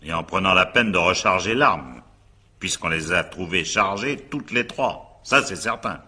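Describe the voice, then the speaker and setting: An actor playing a sceptical examining magistrate in a radio drama, questioning formally and insistently with pointed pauses. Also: male voice, deep voice, animated, bright, forceful tone, husky, heavily acted. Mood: sceptical, probing.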